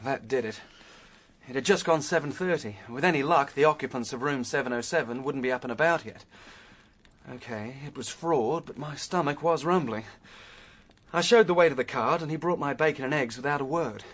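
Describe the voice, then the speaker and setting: A man's voice: mid-range voice, fairly quick, a bit bright, natural voice. An actor playing a penniless, determined young Australian man who ruefully recounts his adventure in a radio drama. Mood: wry storytelling. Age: young adult. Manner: vivid storytelling, stammers when flustered. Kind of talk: radio drama acting and first-person narration.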